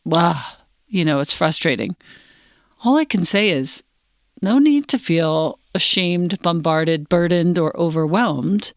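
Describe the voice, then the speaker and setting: A woman hosting a podcast interview, speaking softly and thoughtfully. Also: fairly low pitch, dry recording, clean studio sound. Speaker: podcast host.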